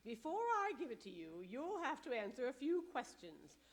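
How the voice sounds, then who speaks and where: A woman's voice: high voice, sing-song, moderate pace. A woman playing a fussy, officious post office superintendent in an old-time radio comedy.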